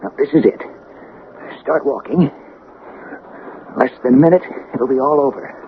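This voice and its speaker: An actor in an old-time radio drama playing a scheming, persuasive small-town councilman who pauses as he plots. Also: mid-range voice, natural voice. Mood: urgent, tense. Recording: tinny, noisy 1980 radio broadcast recording.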